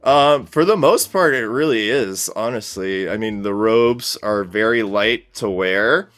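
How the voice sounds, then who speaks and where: A man speaks in a low, smooth voice with very little room sound, over faint music. He talks in an easygoing, rambling way, like a guest on a casual podcast. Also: a little slow, a bit bright, no echo. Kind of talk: casual interview chat.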